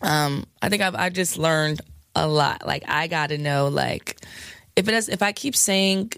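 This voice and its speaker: A woman DJ with a scratchy, hoarse voice, chatting frankly in an interview with lots of fillers and laughs. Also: low voice, a little slow, fairly bright tone, no echo, studio quality. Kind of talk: candid casual interview talk.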